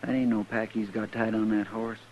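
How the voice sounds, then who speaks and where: An actor playing a simple, anxious, loyal rustic marshal's helper with an in an old-time radio Western. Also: male voice, fairly low pitch, level tone, a little fast, natural voice. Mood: uneasy. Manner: plain, folksy, easily exasperated. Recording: dull, slightly noisy vintage radio recording.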